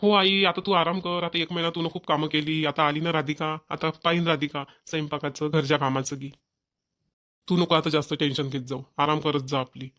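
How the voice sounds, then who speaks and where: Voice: medium pitch, level tone, a little fast, fairly bright tone, close to an everyday voice. A performer voicing a caring, mildly nagging village man in a family cartoon, with a rural Maharashtrian accent. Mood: concerned. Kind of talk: Marathi family cartoon voice acting.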